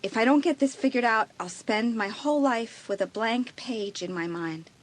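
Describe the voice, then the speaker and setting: A woman's voice: fairly high pitch, moderate pace, close to an everyday voice. An actress playing a nervous, guilt-ridden teenage girl who stammers hesitantly in an audio drama.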